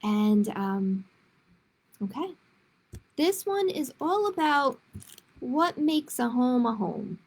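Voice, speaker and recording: Slow, higher-pitched woman reading aloud. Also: sing-song, a little mellow, husky. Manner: unhurried, simple read-aloud phrasing with casual asides. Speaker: children's librarian, storytime host. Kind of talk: reading picture books aloud to children.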